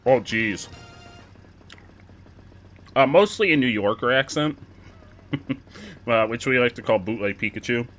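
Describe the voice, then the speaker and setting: A young man casually commenting on a kart race while chatting with his viewers, excitable and quick to laugh. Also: medium pitch, faint music behind. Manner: excitable, giggly, chats with viewers. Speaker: streamer.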